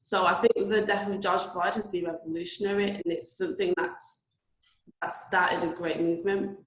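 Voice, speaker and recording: A woman's voice: lowish voice, fairly even intonation, normal speed, fairly bright tone, reverberant, rough recording. A woman born in Zimbabwe who speaks earnestly and fluently, with frequent "you know" fillers. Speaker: interviewee. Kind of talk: casual spoken reflection.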